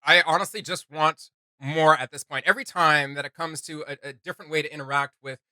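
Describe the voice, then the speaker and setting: A man co-hosting a reaction video, talking casually and excitedly in an emphatic, slangy way. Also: on the high side, fairly quick, dry recording.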